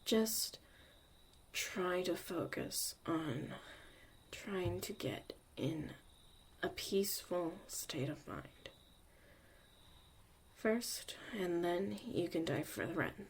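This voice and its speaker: A woman acting a gruff, guarded but secretly caring young mage mentor in a zombie apocalypse ASMR roleplay, soft-spoken and often near a whisper. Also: lowish voice, expressive, very slow, pauses often, a little hiss or hum, exaggerated voice. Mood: calm, soothing.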